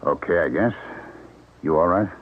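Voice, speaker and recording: An actor playing an uneasy, subdued man in a radio mystery drama, speaking quietly and hesitantly. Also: low voice, moderate pace, a little hiss or hum, close to an everyday voice. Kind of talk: 1970s radio drama acting. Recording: tinny old radio broadcast recording.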